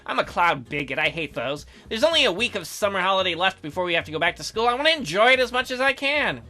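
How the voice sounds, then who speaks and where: Male let's play host, bright and animated, casual reading with mock-incredulous commentary. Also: high voice, quick pace. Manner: joking, mock-incredulous asides.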